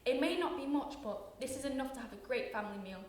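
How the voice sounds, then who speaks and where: A teenage girl playing a kindly, forgiving mother of a poor family, gentle and reassuring, in a radio play. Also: high voice, fast talker, recorded from a distance, slight background noise, lightly acted. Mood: grateful. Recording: roomy echo.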